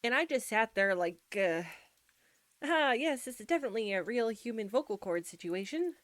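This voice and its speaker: A woman playing an embarrassed, anxious young roommate in an intimate audio roleplay, speaking softly and haltingly straight to the listener. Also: a little high, animated, no room sound. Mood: flustered, exasperated. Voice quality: soft. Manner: halting, flustered, nervous stumbling.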